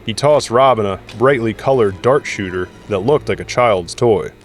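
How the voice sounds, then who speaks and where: A man's voice: on the low side, moderate pace, a little hiss or hum. A man narrating an audio drama in a dramatic, scripted storytelling style. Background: dramatic music.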